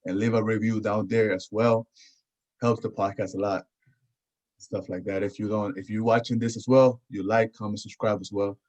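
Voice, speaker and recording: A man's voice: fairly low pitch, level tone, moderate pace, darkish tone. A man hosting a podcast in casual chat, often repeating his phrases. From Houston area, United States. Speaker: podcast host. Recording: dull, lo-fi audio.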